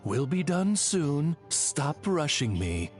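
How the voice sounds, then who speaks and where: A man's voice: on the low side, big pitch swings, measured pace, faint music behind. A man playing a dignified raven familiar in an anime game, a courteous, deferential interpreter with formal speech. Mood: translating, blunt. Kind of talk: English-dubbed anime game acting.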